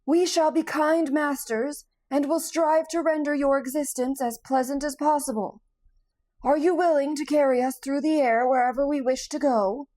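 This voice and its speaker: A woman voicing a kindly male scarecrow figure who coaxes and encourages in a gentle way. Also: high-pitched, steady tone, normal speed, no room sound, voice pushed up, lightly acted. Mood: coaxing. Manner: kind, coaxing. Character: kindly straw man, cheerful and encouraging.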